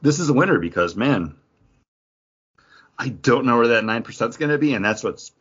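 A man's voice: mid-range voice, lively intonation, brisk, a bit bright, slightly rough, muffled recording. A man hosting a podcast, giving a casual review in a conversational way with slangy asides. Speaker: podcast host.

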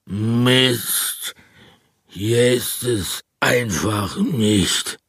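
A man voicing a huge, very old, tired bear for a children's audiobook, with a rough, weary voice and a muddled, grumbling manner. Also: mid-range voice, fairly bright tone, dry recording, studio quality, voice pushed up, exaggerated voice. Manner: slow, drawled, muddled.

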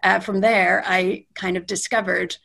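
A woman's voice: medium pitch, flat delivery, measured pace, vocal fry, a bit bright. A middle-aged Canadian woman, speaking off the cuff in a thoughtful, meandering way with many self-corrections. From Western Canada. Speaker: guest. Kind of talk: unscripted interview talk.

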